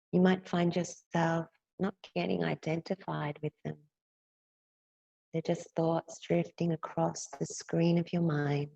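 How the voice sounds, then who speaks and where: A woman with a low, gravelly voice leads a guided meditation. She speaks softly and soothingly as a teacher, lingering between phrases. The recording is close and dry, though slightly dull. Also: dry recording. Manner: gentle, unhurried, with long pauses between phrases. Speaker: yoga nidra meditation teacher.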